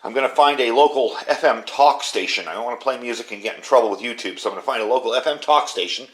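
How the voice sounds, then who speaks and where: A man's voice: mid-range voice, fast. A man giving a casual hands-on product review in an informal, conversational way, recorded in his garage studio. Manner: plain-spoken, informal, conversational.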